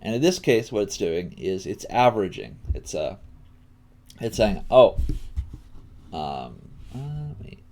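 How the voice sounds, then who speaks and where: A man giving a casual live coding tutorial as a teacher, thinking aloud in a halting way while he works. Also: on the low side, darkish tone.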